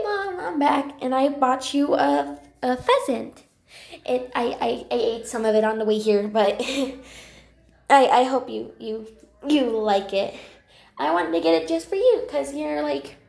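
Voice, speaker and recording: High-pitched, shy little kitten, acted by a woman. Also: vocal fry, darkish tone. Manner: hesitant, stammering, pleading. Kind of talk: amateur character voice acting. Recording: roomy, echoing home recording.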